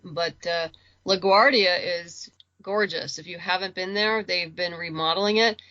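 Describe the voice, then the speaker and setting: A woman's voice: fairly low pitch, fairly even intonation, a little slow, sharp tone, no echo, lo-fi sound. A woman chatting casually as a podcast guest, talking in a rambling, conversational way and repeating words for emphasis. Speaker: guest, comics and audio drama creator.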